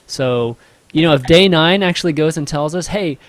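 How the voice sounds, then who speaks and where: A man hosting a casual podcast, relaxed and affirming. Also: a little high, moderate pace, dry recording. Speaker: podcast host.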